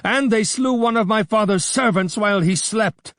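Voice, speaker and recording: A man's voice: high-pitched, normal speed, fairly bright tone, dry recording, studio quality, pitched above the performer's own voice. A man voicing a proud, fierce young warrior who speaks wrathfully and defiantly.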